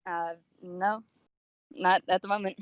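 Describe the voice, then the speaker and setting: A woman's voice: lowish voice, fairly even intonation, measured pace, smooth, no echo, muffled recording. A woman co-hosting a podcast with casual, playful, teasing banter. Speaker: podcast co-host.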